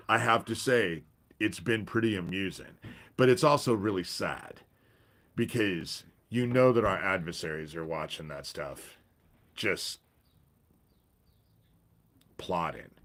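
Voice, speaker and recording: A man hosting a casual livestream from his back porch, with a deep voice and a stuffy head cold, rambling with pauses and restarts. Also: a bit bright. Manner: rambling, pauses, self-interrupting. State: congested from a cold. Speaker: livestream host.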